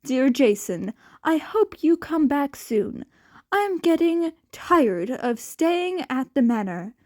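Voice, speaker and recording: A woman's voice: high-pitched, very animated, slow, no room sound, clean studio sound, exaggerated voice. A woman voicing a stiff, formal young boy in an audio story.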